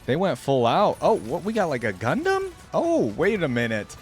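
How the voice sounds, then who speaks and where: A man's voice: a little high, wildly swooping pitch, normal speed, soft background music. A young adult man, giving chatty, enthusiastic reaction commentary as a video host.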